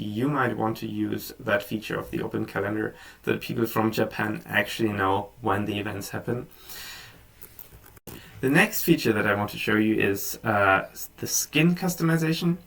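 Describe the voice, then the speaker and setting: A German man with a gravelly, creaky voice walks through a screen-recorded software tutorial in a relaxed, unscripted way, full of ums and small restarts. He sits a bit back from the microphone, so his voice has some distance to it. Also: medium pitch.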